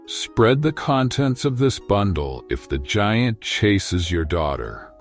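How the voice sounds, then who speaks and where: Man acting a slow, low-voiced mountain hermit. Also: big pitch swings, faint music behind, full character voice. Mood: solemn, instructing. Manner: slow, deliberate, instructing. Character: male mountain hermit giving solemn instructions. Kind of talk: children's folktale storybook acting.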